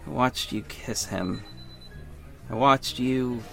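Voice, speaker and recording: A wounded ex-lover, slow and earnest. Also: male voice, medium pitch, gravelly, faint music behind. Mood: bitter, wounded. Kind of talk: audio drama acting and first-person narration.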